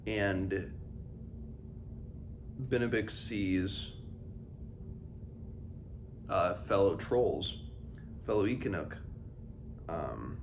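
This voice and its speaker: A man with a low-pitched voice talks through a book as a read-along host, reading and commenting informally. His phrasing comes in hesitant starts and stops. There is clear background noise in the recording. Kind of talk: book discussion with read-aloud passages. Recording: clearly noisy background ambience.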